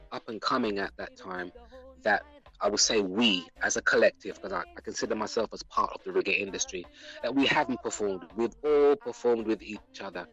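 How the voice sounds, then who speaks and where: A middle-aged man with a South London accent, a singer being interviewed, telling his story in an unhurried, reflective way. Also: fairly low pitch, a bit bright, low-quality recording. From England. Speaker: guest singer. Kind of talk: relaxed interview talk.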